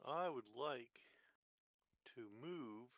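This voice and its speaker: A man's voice: medium pitch, drawn-out words, fairly bright tone, no echo. A man chatting casually as a player in a tabletop roleplaying game.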